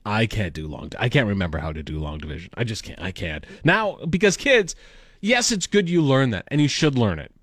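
A man's voice: fairly low pitch, very animated, fairly quick, fairly bright tone, dry recording, studio quality. A middle-aged man co-hosting a morning radio show, teasing his partner sarcastically and laughing often. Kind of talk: casual joking banter. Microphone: studio microphone.

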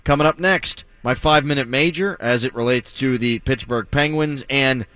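Bright-voiced male sports radio host. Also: mid-range voice, no room sound. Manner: joking, wry, brisk.

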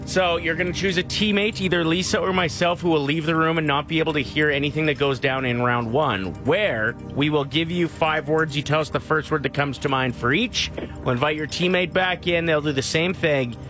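A man's voice: a little high, fairly quick, a bit bright, soft background music. A man hosting a morning radio show, bantering with his co-host and explaining a call-in game.

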